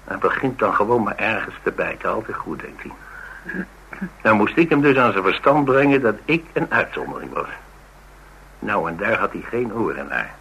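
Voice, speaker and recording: A rugged, blunt man speaks in a low, forceful voice. He tells his story with dry humour in a colloquial radio play manner, over some background noise. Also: sing-song, normal speed, creaky, exaggerated voice, rough recording. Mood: grim relish. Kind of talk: Dutch radio drama dialogue, conversational storytelling.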